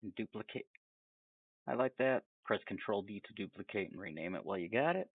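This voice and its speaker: A man giving a casual, off-the-cuff software tutorial, rambling along with chatty, self-amused asides. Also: fairly low pitch, lively intonation, fairly quick, husky, no echo, narrow, tinny audio. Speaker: tutorial presenter.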